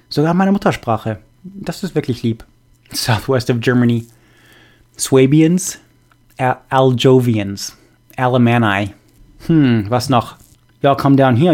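A young man hosting a podcast, reading listener messages in a relaxed, halting way with many fillers. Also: mid-range voice. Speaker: podcast host. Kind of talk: casual podcast chat reading listener mail.

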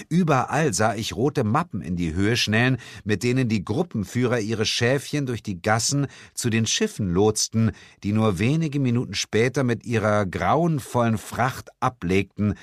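A man's voice: fairly low pitch, lively intonation, a little slow, no echo, clean studio sound. A man narrating a comic first-person audiobook story in a mock-dramatic way.

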